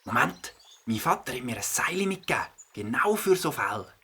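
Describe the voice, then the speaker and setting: Man playing a bright, husky, eager young boy. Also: mid-range voice, very animated, moderate pace, heavily acted. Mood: resourceful. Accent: Swiss German. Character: helpful, inventive, optimistic young boy, beaver's friend. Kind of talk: children's audio play acting.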